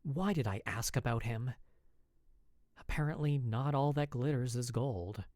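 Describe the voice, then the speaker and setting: A man reading a story aloud in the first person, in a slow, measured and expressive way. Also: mid-range voice, no echo, studio quality. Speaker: podfic reader.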